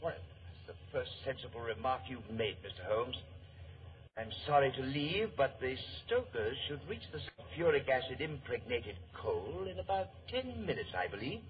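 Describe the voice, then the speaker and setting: An actor playing a suave saboteur, mockingly courteous and menacing in a 1940s radio mystery. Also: male voice, mid-range voice, sing-song, normal speed, lightly acted. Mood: mockingly polite menace. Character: suave, gloating German saboteur villain, menacingly polite. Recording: muffled, noisy 1940s radio recording.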